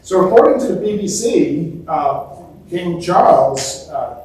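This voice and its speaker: A man's voice: fairly high pitch, expressive, a little slow, darkish tone. A man presenting an informal history lecture to an audience, telling stories conversationally and posing rhetorical questions. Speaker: museum talk presenter. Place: indoor museum talk before a live audience. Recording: very echoey room, distant mic, faint noise.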